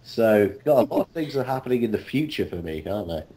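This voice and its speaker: A man's voice: big pitch swings, fairly quick, warm tone. A man in a casual group chat as an interviewed voice actor, talking in a rambling, jokey way over an online call. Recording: rumbly, noisy online call.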